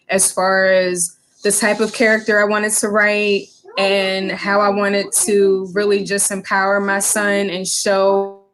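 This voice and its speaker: A woman children's book author answering interview questions, earnest and halting with many pauses and fillers. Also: medium pitch, fairly bright tone, low-quality recording. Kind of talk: interview answers on a video call.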